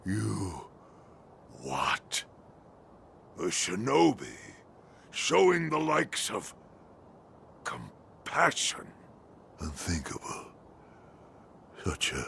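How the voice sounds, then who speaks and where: An actor playing a stern, scheming old shinobi father in a video game, with a gravelly, theatrical, imperious delivery. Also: low-pitched, huge pitch swings, extremely slow, long pauses, a bit bright, a little hiss or hum, heavily acted. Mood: scornful, incredulous. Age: older. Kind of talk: dramatic video game voice acting.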